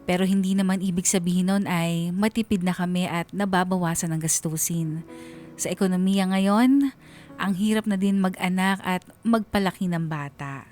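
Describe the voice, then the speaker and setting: A woman's voice: on the low side, steady tone, normal speed, darkish tone, faint music behind, lightly acted. A woman playing an aggrieved married schoolteacher, narrating her family troubles as a confession in a radio drama. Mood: aggrieved, reflective.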